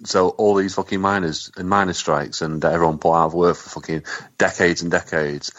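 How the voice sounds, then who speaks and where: A man's voice: low-pitched, little pitch movement, a little fast, a bit bright, no echo. A British man co-hosting a podcast, ranting indignantly with heavy swearing. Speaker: podcast co-host. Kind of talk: casual profane chat. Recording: dull lo-fi sound.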